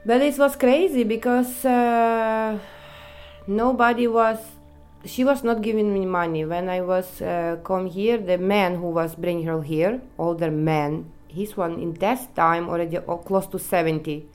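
A woman from Ukraine with a Ukrainian accent, telling her own story in halting, broken English with many pauses. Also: mid-range voice, fairly even intonation, a little mellow, clean tone. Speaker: interviewee. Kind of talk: personal testimony in non-native English.

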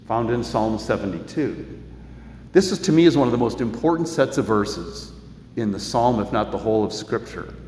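A man giving a sermon as pastor, preaching in an earnest, measured way and reading scripture aloud. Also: mid-range voice, darkish tone, a little hiss or hum.

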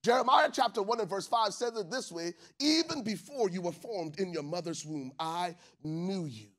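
A man's voice: high-pitched, lively intonation, normal speed. Man from Liberia preaching with big swings. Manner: preacher's call-and-response, big swings from soft to shouted. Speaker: pastor. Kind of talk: sermon. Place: church stage with live congregation.

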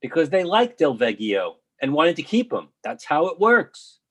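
Male, acting fifty-year-old academic, husky and unsentimental, very animated swings in pitch, frank stage dialogue. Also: moderate pace, heavily acted. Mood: blunt, exasperated. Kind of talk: stage play reading.

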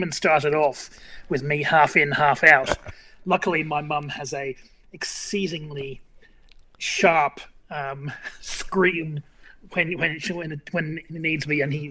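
A man's voice: fairly high pitch, steady tone, a little slow, sharp tone, rough recording. A man in his fifties from Ireland, a journalist guest telling rambling stories with many fillers and restarts. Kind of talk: casual interview chat.

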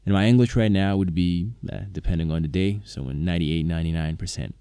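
A man whose first language is Slovak speaks to his viewers in a low, mellow voice. He hesitates often, restarting phrases and filling gaps with "um." The recording is dry and close, with no room sound. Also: fast talker, clean tone, no room sound. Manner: hesitant, many fillers and restarts. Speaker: polyglot video maker, interpreter. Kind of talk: casual talk to camera.

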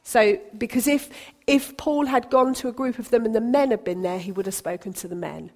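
A woman's voice: fairly high pitch, brisk, a little mellow. A woman pastor from Britain, preaching a sermon in a chatty, encouraging way with light humour. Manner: conversational, encouraging, self-deprecating humour.

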